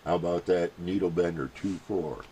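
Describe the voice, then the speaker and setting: Very deep-voiced man. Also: noisy background. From United States. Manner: rambling, folksy, hesitant pauses. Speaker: amateur CB radio operator and vlogger. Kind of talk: casual vlog chat and radio contact calls.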